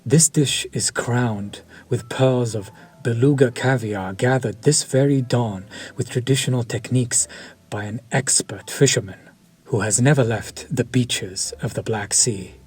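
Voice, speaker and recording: Vampire chef, male, courtly and menacing, voiced by a man; breathy mid-pitched delivery, unhurried. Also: faint music behind. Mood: savoring. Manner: slow, formal and soft-spoken, dropping to near-whispers. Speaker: voice actor. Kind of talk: audio roleplay to the listener.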